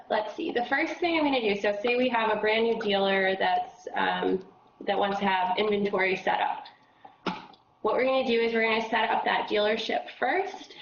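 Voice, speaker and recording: Woman trainer, froggy voice, casual explainer; roomy, muffled call audio with faint hiss. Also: medium pitch. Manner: casual, filler-heavy explaining. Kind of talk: casual screen-share training.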